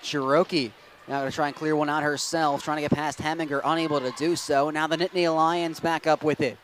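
A young man calling hockey play-by-play, giving a rapid, steady account that climbs into loud, excited goal calls. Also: on the high side, slight background noise. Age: young adult. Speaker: play-by-play announcer.

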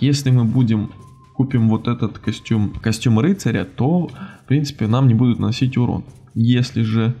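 A man giving casual, animated let's-play commentary on a video game, reacting and muttering to himself as host. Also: medium pitch, slightly rough. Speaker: gaming channel host.

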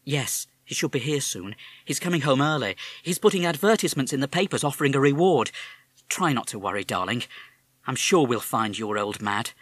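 A man voicing a calm British mother who speaks in a soothing, reassuring way. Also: medium pitch, fairly quick, no echo, pitched above the performer's own voice.

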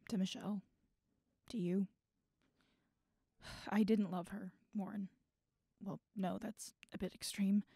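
A woman playing a guarded, regretful, bitter adult in an audio drama, speaking haltingly. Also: mid-range voice, little pitch movement, gravelly, warm tone, breathy edge, no echo, clean studio sound, full character voice. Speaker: voice actor in student audio drama. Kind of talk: naturalistic audio drama dialogue.

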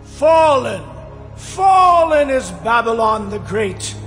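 An actor playing an angel crying out doom in a dramatized scripture reading, slow and declamatory. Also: male voice, extremely high pitch, sing-song, fairly bright tone, soft background music, heavily acted. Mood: triumphant, grave.

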